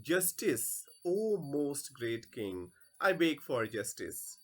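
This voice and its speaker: A man's voice: a little high, big pitch swings, slow pace, fairly bright tone, full character voice. A man voicing a self-righteous thief in a stage play, pleading plaintively and melodramatically. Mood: wailing, aggrieved.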